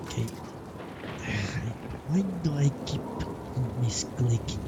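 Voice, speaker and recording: A man's voice: medium pitch, extremely slow, very noisy. A man streaming a video game with casual live commentary, muttering to himself and swearing now and then. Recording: loud game music behind voice. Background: loud video game music.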